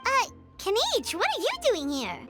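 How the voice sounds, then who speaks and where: A woman voicing a tiny, chirpy, childlike fairy companion in a video game, polite and squeaky. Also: huge pitch swings, unhurried, over loud music, full character voice. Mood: surprised, explaining.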